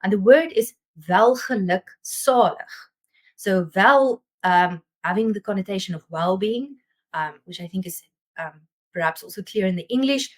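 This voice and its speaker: A woman with a South African Afrikaans accent, a native Afrikaans speaker, giving an academic theology seminar talk over a video call in a thoughtful way, often pausing to search for words. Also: mid-range voice, lively intonation. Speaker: theology lecturer.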